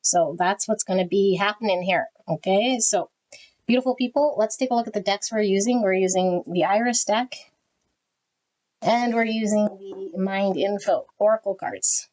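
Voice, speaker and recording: A woman giving a tarot reading to her viewers, talking frankly and often tagging "okay?" onto her sentences, with her voice swinging high and low. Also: normal speed, darkish tone, rough recording. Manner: pitch swings high and low as she talks. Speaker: tarot reader. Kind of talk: casual direct-to-camera tarot reading.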